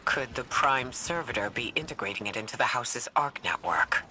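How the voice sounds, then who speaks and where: A man's voice: mid-range voice, expressive, normal speed, bright, forceful tone, a little hiss or hum. An actor playing an analytical male scientific advisor in a video game, formal and precise. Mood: curious, focused. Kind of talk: video game dialogue acting.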